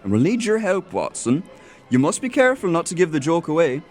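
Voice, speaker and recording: A man plays a mischievous plotter in an audio drama. He is breezy and persuasive, with a fairly high, bouncing voice and music behind him. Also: sing-song, brisk, a little mellow. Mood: conspiratorial. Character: jovial, mischievous male prankster, a doctor's acquaintance. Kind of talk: audio theatre acting.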